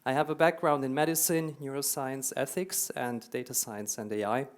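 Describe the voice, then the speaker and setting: A man's voice: mid-range voice, fairly even intonation, moderate pace. German-accented man giving a keynote. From Hungary, raised in Germany. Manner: earnest, explanatory, repeats words for emphasis. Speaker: keynote speaker. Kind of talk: conference keynote talk. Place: conference hall.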